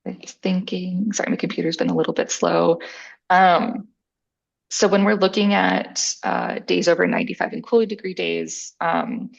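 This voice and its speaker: A woman's voice: lowish voice, animated, moderate pace, gravelly, muffled recording. A young woman in her twenties, a graduate student answering questions casually, hedging with "like".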